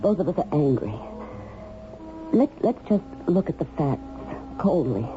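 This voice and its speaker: A woman's voice: low voice, sing-song, unhurried. An anxious, loyal wife in radio drama. Her voice rises and falls widely as she urgently questions. The old recording is dull, with music in it. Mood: urgent, questioning. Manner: urgent, probing.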